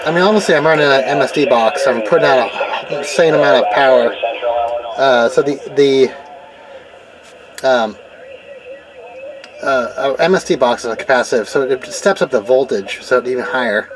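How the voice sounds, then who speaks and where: A man's voice: mid-range voice, moderate pace, pauses often, rough recording. A man giving a casual hands-on demonstration, thinking aloud in a hesitant, self-doubting way as he works. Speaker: DIY car radio tinkerer narrating repair video. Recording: clear background noise, vehicle ambience. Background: vehicle ambience and noise.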